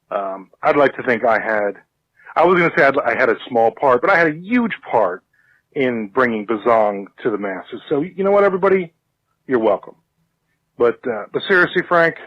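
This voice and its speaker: A man's voice: mid-range voice, no room sound. Man on a dull, dry call-in recording. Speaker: call-in well-wisher, podcast network boss. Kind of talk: casual congratulatory phone message. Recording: dull lo-fi phone message.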